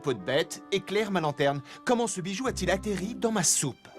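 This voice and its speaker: Casting: kindly monarch, stately and formal but widely expressive, cartoon fairy-tale dub with music behind. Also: male voice, sits high, moderate pace. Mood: regal, inquiring. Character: courteous, commanding but kindly king. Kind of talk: animated fairy-tale dubbing.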